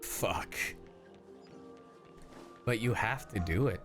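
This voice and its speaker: A man's voice: on the low side, expressive, slow, music in the background. A man giving casual, emotional livestream commentary on a game, swearing and repeating himself. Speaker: streamer reacting to a game story.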